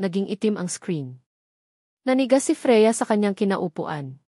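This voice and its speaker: Female narrator for dramatic fiction; mid-range voice, measured and weighty delivery, dry studio-like sound. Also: medium pitch, a little mellow, dry recording. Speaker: story narrator.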